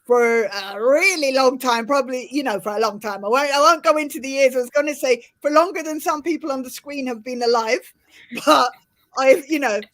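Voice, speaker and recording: Chatty middle-aged Irish woman, higher voice. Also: normal speed. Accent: Irish, softened by years in the UK. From Ireland. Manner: chatty, animated, many restarts. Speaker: host. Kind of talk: casual panel chat. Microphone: video call.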